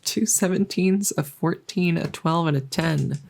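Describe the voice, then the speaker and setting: A woman playing a friendly, chatty dungeon master in a soft-spoken ASMR roleplay, musing and hesitant as she talks intimately to the listener. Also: on the low side, measured pace, creaky. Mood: amused, focused. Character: friendly female dungeon master, chatty, teasing, doting cat owner.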